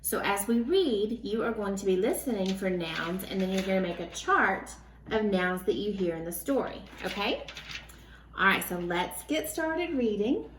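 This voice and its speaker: Animated woman teacher reading aloud, echoey room. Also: medium pitch, moderate pace, a little hiss or hum. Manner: instructive, clearly phrased, checking in with listeners. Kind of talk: children's storybook read-aloud lesson. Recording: roomy, echoing room sound.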